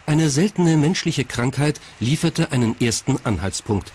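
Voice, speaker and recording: A man's voice: mid-range voice, expressive, unhurried, a little mellow, husky. A man reading scripted narration as the narrator of a documentary. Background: faint music.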